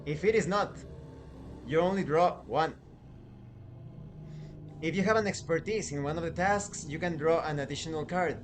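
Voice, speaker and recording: A man giving an informal spoken review as a show host, explaining in a halting way with conversational asides. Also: on the high side, background noise. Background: background music.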